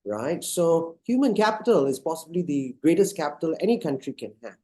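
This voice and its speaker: Mellow-voiced man moderating a video panel. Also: a little high, sing-song, brisk. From Singapore. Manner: hesitant, many fillers and restarts. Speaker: moderator of online summit. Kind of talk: casual panel discussion.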